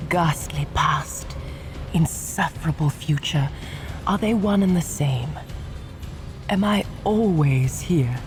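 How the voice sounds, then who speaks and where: Low, slow, mournful bound ghost. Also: female voice. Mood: mournful, bitter. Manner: languid, haunted, theatrical. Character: tormented, mournful female ghost, bound and bitter. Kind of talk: dramatic video game voice acting. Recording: music behind.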